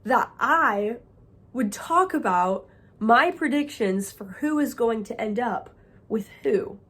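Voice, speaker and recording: A young woman chatting excitedly to camera as a book vlogger, sounding a little nasal from a cold. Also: mid-range voice. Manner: excited, chatty, talks to viewers as friends. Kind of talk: casual chat to camera.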